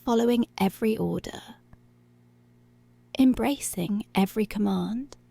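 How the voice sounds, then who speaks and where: A woman playing a dominant, seductive policewoman in an intimate ASMR roleplay, giving slow, deliberate, breathy commands that often drop to a whisper. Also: fairly high pitch, warm tone, no room sound, full character voice. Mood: teasing, seductive. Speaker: ASMR voice actor.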